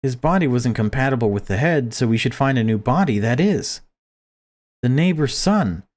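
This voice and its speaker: A man's voice: mid-range voice, animated, moderate pace, darkish tone, pitched above the performer's own voice, close to an everyday voice. A man voicing a cruel, controlling mother who puts on politeness, in a horror visual novel. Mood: scheming, commanding. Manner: polite excuses and curt commands. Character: cruel controlling mother, falsely sweet to outsiders.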